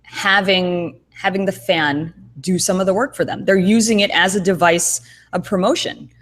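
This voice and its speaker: A woman's voice: lowish voice, steady tone, moderate pace, fairly bright tone. A woman co-hosting a casual podcast chat over a video call, talking in a thoughtful, rambling way with many fillers and restarts. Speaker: co-host.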